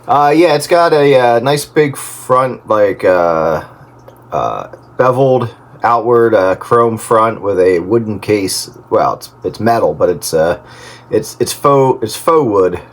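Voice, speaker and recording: A man hosting a casual radio hobbyist chat over CB, speaking haltingly over a two-way radio link. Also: lowish voice, expressive. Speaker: host of a radio hobbyist round-up. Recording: very noisy CB radio transmission.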